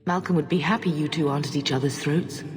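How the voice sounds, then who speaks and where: A performer playing a gentle, consoling family elder in a fantasy game, with a husky voice. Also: medium pitch, fast talker, lightly acted. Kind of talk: fantasy game cutscene acting. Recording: hall reverb, music behind.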